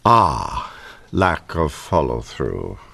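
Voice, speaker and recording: An actor playing a haughty, crooked banker in a period radio mystery, speaking formally. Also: male voice, a bass voice, very animated, very slow, vocal fry, bright, forceful tone, slightly rough, heavily acted. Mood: caught, cool. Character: smooth, indignant, crooked male banker villain. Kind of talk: old-time radio drama acting.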